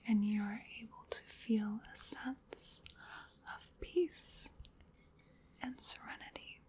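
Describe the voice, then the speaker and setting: A woman whispering in a breathy voice, giving soothing, unhurried ASMR hypnosis as part of a roleplay. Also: mid-range voice. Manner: soothing, unhurried, repetitive guided relaxation. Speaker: ASMR performer.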